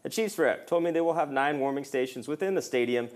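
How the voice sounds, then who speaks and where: A man reporting a local TV news story, narrating in an upbeat, lively way. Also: medium pitch, fast. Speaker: field reporter.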